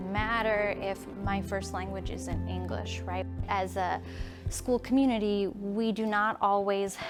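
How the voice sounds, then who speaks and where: A woman high school teacher speaking earnestly and reflectively in a documentary interview. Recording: music bed under voice.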